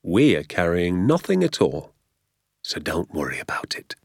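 A man's voice: low-pitched, huge pitch swings, moderate pace, gravelly, no room sound, studio quality, heavily acted. A man voicing a fond, sly, proud father in a storybook, with an easygoing, assured manner. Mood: reassuring. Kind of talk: storybook character voice.

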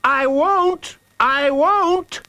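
A man's voice: very high voice, very slow, bright, forceful tone, voice pushed up, full character voice. A man voicing a small, stubborn, bold young boy in an earnest storybook reading. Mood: defiant. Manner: earnest, defiant, repeats himself.

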